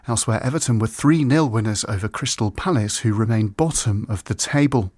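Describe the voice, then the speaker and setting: A man's voice: fairly low pitch, a little fast, darkish tone, dry recording, clean studio sound. A man reading a scripted sports bulletin for radio. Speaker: sports news reader.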